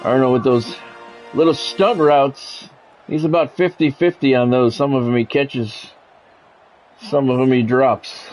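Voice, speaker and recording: A man's voice: mid-range voice, fairly even intonation, a little slow, a little mellow, faint music behind, low-quality recording. A man doing play-by-play commentary on a football video game in a conversational way, with wry asides. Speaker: play-by-play announcer.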